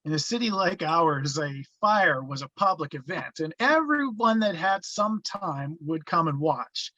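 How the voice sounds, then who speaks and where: A man's voice: on the high side, expressive, a little slow, pushed and bright, no echo, exaggerated voice, poor audio. A man playing a wry narrator who recalls boyhood in first person, reading from a script. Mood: reminiscent.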